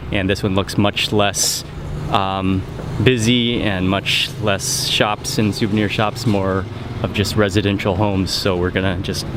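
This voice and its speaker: A man casually narrating a travel vlog while walking outdoors, relaxed and chatty with fillers. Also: medium pitch. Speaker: travel vlogger. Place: outdoors on city streets. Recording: music bed and traffic behind.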